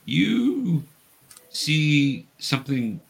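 A man's voice: fairly high pitch, very animated, extremely slow, gravelly, poor audio. A man acting as game master, narrating a tabletop game and explaining options to the players.

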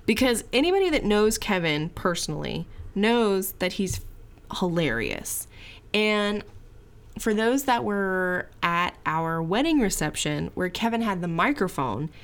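A woman's voice: mid-range voice, very animated, unhurried. Chatty teasing woman in her thirties. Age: 30s. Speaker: podcast co-host. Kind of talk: casual couple chat.

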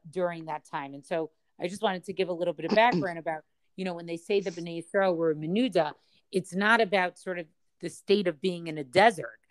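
Woman, study-session teacher, low voice, dry close sound, thinking aloud with ums. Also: no echo.

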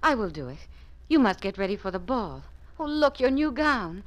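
A gentle, lively-voiced maid. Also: female voice, a little high, moderate pace. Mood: soothing. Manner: soft, soothing, deferential. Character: loyal, gentle young lady's maid.

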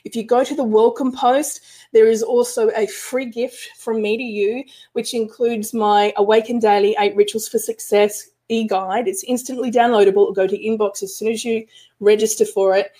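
A woman's voice: fairly high pitch, fairly even intonation, moderate pace, warm tone. A woman spiritual business coach talking casually to her online group in a live stream, with lots of restarts and fillers. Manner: chatty, frequent restarts and fillers.

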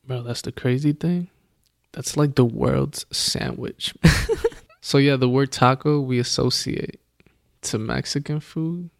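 A man's voice: mid-range voice, slow, creaky, no room sound, clean studio sound. A man chatting casually as a podcast co-host, laid-back and slangy, laughing often. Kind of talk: casual chat. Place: Los Angeles.

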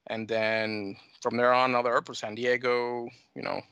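Gravelly, bright Dominican-American man, close and dry. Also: mid-range voice, level tone, moderate pace, dry recording. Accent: mixed Dominican and American. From United States and Dominican Republic. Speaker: talk show guest, flight sim scenery developer. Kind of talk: conversational interview answers.

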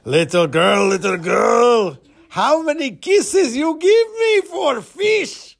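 Mean young male storybook bully , put on by a male teller: high, loud, sing-song, taunting. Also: expressive, slow, gravelly, exaggerated voice. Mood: gloating taunt. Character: mean young man taunting a little girl.

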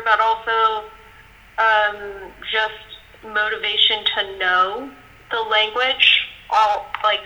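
A woman from the United States, speaking in an agreeable, thoughtful and measured way in a casual conversation. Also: fairly high pitch, pushed and bright, dull audio.

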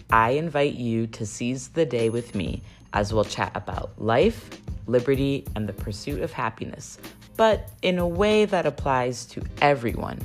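A woman hosting her own podcast, talking solo in a chatty way with a slightly raspy voice and posing questions to her listeners. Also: rumbling low. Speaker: podcast host.

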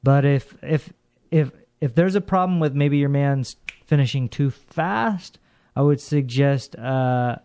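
A man with a deep, bassy voice hosting a casual call-in radio show, rambling and hesitant as he answers. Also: takes it slowly, dark tone. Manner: rambling, hesitant, laid-back.

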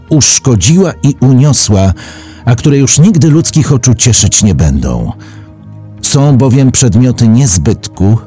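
A man reads a historical text with grave, deliberate rhetoric. His voice is mellow and fairly low, and he takes his time. Faint music plays underneath. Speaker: reader of historical texts. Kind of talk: dramatic solemn reading of a historical text.

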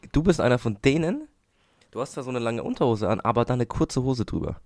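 A man's voice: mid-range voice, very animated, fast, darkish tone, no echo, studio quality. A young man with a cold, co-hosting a casual podcast chat and speaking hesitantly. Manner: hesitant, many fillers and restarts. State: has a cold. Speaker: podcast co-host.

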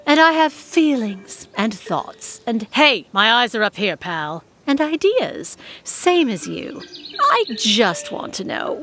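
A woman's voice: on the high side, a bit bright. A woman playing a weary, sardonic witch leader in an audio comedy, speaking in a dry, exasperated, theatrical way. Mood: earnest then irritated.

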